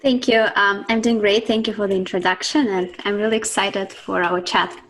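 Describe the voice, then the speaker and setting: A woman from Siberia, a guest talking reflectively about her life and work, often pausing with ums and restarts. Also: medium pitch, fairly even intonation, fairly bright tone, poor audio. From Russia (Siberia). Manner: reflective, thinks aloud with many ums and restarts. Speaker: guest, mindset coach. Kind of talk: casual interview talk.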